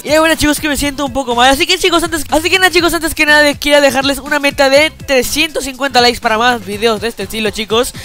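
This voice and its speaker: A man's voice: extremely high pitch, pushed and bright. A teenage boy, talking over his gameplay in casual, slangy chat, saying he feels a bit unwell and peppering every sentence with "chicos". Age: teens. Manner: slangy, repeats "chicos" constantly. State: feeling unwell, a bit weak. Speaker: gaming YouTuber. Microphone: headset.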